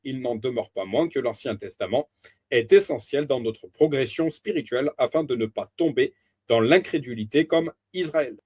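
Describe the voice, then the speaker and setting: A man reading a Bible teaching aloud as a preacher, in a measured, deliberate way with emphatic stresses. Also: medium pitch, darkish tone, slightly rough.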